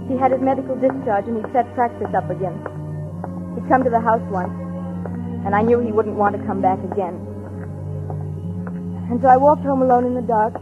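Music plays clearly behind a dull, old radio recording. An anxious, earnest young daughter tells and lives her story in a suspense play, acted by a woman. Her voice is slightly high, careful and dramatic. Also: brisk, long pauses, close to an everyday voice. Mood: somber, haunted. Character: anxious, soothing unmarried daughter narrating her ordeal. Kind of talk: dramatic first-person radio narration and acting.

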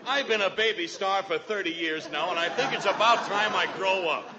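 Man acting an overgrown child star, high voice, weary, old radio comedy, noisy dull recording. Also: moderate pace, natural voice. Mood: fed up. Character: aging baby star, weary of playing little child.

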